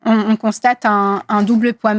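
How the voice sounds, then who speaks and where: A woman in her thirties from Congo whose first language is Swahili, speaking earnestly in an interview with many hesitant pauses. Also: mid-range voice, flat delivery, dry recording. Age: 30s. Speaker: guest activist. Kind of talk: interview conversation.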